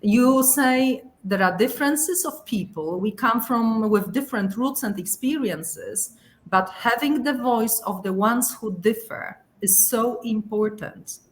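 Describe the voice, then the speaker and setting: A woman's voice: on the high side, a little slow. A woman hosting a webinar panel, who sums up her guests' points and asks follow-up questions. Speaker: webinar host and moderator.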